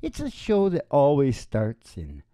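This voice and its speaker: A man's voice: medium pitch, wildly swooping pitch, a little slow, warm tone. A middle-aged man hosting an old-time radio style music show with playful, theatrical, casual stage patter into a microphone. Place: small indoor room, live performance. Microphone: stage microphone on a stand, close up. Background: acoustic guitar playing.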